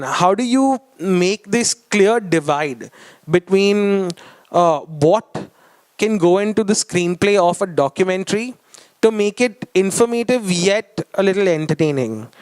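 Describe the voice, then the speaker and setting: A man's voice: sits high, clear, resonant tone, no room sound. A man interviewing someone in a conversational style, phrasing his questions in a hesitant, roundabout way.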